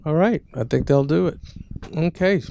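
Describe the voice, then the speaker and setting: A man moderating a bookstore book talk, asking the author brisk, businesslike questions. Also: a little high, creaky, mellow, slight background noise. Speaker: event moderator. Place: bookstore event space.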